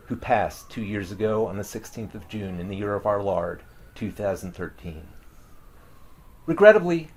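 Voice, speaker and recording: A man's voice: on the low side, breathy edge. A man narrating a scripted introduction to a seafaring story in a theatrical, grandiose old-time storyteller manner. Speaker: narrator introducing a table read.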